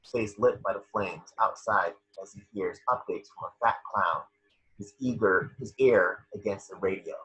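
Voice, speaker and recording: A man reading scene descriptions at an amateur table read, with a husky voice. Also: lowish voice, normal speed, a bit bright, lo-fi sound.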